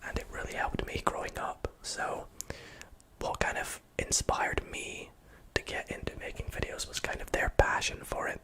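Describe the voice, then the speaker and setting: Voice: moderate pace, poor audio. Whispering Scottish ASMR streamer. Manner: soft whisper, reading chat aloud with many fillers. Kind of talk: whispered livestream Q&A.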